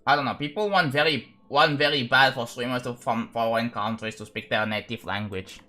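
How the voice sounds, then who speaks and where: A young-sounding man chats live to his viewers while he works on a game level. His voice is bright and forward, at an everyday pitch. He rambles in loose English, filling gaps with "like" and starting sentences over. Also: fairly quick. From Indonesia. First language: Indonesian. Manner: rambling, full of "like" and restarts, talking to chat. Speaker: streamer.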